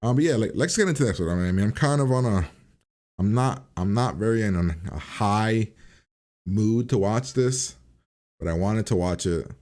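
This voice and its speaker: A man's voice: fairly low pitch, lively intonation, moderate pace, a little mellow. A man hosting a reaction video in a casual, blunt way, swearing now and then.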